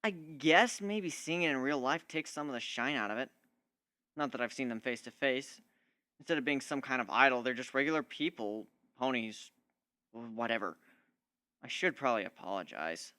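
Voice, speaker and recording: An amateur narrator giving an expressive, conversational first-person dramatic reading of a fan story. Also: medium pitch, moderate pace, no room sound, studio quality.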